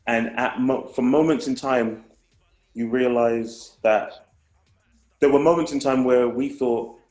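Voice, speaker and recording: A man's voice: medium pitch, fairly even intonation, slow pace, darkish tone, roomy sound, faint music behind. A man, a guest in casual conversation, reflective and rambling with restarts. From England. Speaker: guest, hip hop artist.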